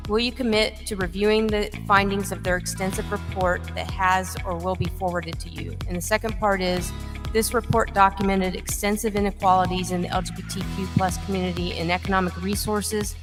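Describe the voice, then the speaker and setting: A woman moderating a debate, reading long prepared questions in a measured, formal way. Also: medium pitch, big-room sound.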